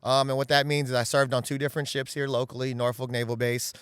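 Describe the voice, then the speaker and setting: Thirties guy from the west coast, earnest chatty interview guest, smooth clear voice, dry sound. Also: mid-range voice, little pitch movement, fast talker, a bit bright, no echo. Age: 30s. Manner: earnest, talkative, often says "right?" and "um". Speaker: guest, real estate agent. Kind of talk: casual interview talk. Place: outdoors in a backyard.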